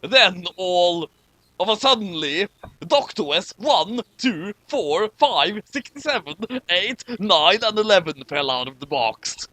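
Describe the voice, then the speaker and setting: A man with a high, bright voice reads a story aloud and comments as he goes. He is animated and mock-dramatic, with an exasperated amusement, and sounds close and dry. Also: slow pace, no room sound. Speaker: reader and commentator of a fan fiction.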